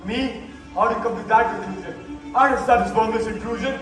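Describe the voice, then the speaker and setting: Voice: mid-range voice, a little fast, lightly acted. A student actor playing a pompous, defensive town mayor in rhymed verse on stage. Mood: defensive, flustered. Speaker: student actor in school play. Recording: echoey school hall from far, music behind.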